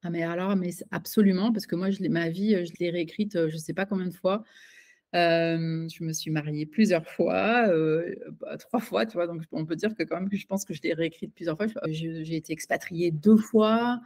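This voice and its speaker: A woman's voice: on the low side, moderate pace, darkish tone. A woman giving an interview as a coach and author, talking in a hesitant way with many fillers and restarts. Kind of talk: casual conversational interview.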